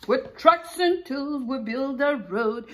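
A woman in her forties, a preschool teacher reading aloud to young children in an animated way, asking questions and pausing for answers. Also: sits high. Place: small indoor room with picture books behind.

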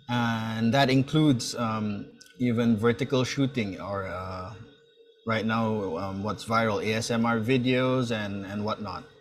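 A man speaks informally as an instructor introducing a class, haltingly, often repeating words. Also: on the low side. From Malaysia. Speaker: sound design instructor and mentor. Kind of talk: informal introductory talk at an online open house. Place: indoor room, on a video call.

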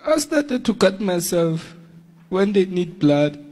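Young man with a high voice, halting and pleading in front of a congregation. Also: sing-song, darkish tone. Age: young adult. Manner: halting, pleading, broken English. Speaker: congregant receiving deliverance. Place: church service before an audience.

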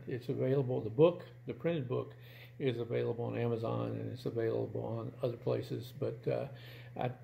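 A man in his sixties chats casually to camera from a room at home. His voice is mellow and creaky, and he feels his way through sentences in a halting, plain-spoken manner. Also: mid-range voice, a little hiss or hum, rough recording. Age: 60s. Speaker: video channel host.

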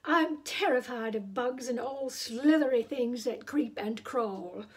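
A woman voicing a bold, excitable young lady in a storybook, emphatic and dramatic. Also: a little high, takes it slowly, slightly rough. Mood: earnest. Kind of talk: storybook character reading.

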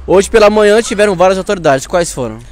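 A young Brazilian man works as a TV reporter doing an interview on location. His voice sits high for a man and he talks quickly. It is recorded outdoors on a small handheld mic. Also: lively intonation, a little hiss or hum. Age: young adult. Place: outdoors in front of a fire station. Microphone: small handheld clip mic held near the mouth.

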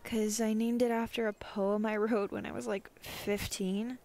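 A woman's voice: mid-range voice, level tone, unhurried, darkish tone, close to an everyday voice. A woman playing a young, bashful, dry-humoured goth college girl in a casual ASMR roleplay. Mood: embarrassed. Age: young adult. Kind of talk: ASMR roleplay audio drama.

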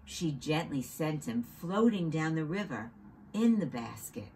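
A woman storyteller narrating for children in an expressive, dramatic manner. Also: sits low, unhurried. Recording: noticeable background noise.

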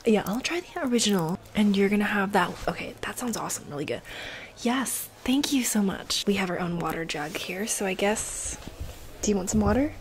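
A young adult woman doing a casual ASMR role play, speaking softly and often slipping into a whisper with mouth sounds, close to a desktop mic. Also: mid-range voice, animated, brisk. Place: bedroom, indoors. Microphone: close desktop condenser mic. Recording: close mic with noise, clicks.

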